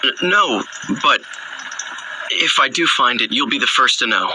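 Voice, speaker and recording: A man's voice: medium pitch, animated, measured pace, noisy background, lightly acted. An actor playing an earnest, easygoing young man in a video game, speaking casually and a little hesitantly. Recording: music behind the voice.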